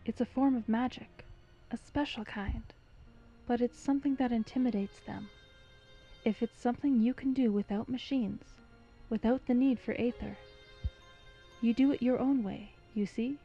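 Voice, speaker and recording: High-voiced, anxious young heroine played by a woman. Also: fairly even intonation, moderate pace, long pauses, warm tone, breathy edge, muffled recording, faint music behind. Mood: earnest, urgent. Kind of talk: dramatized fantasy story reading.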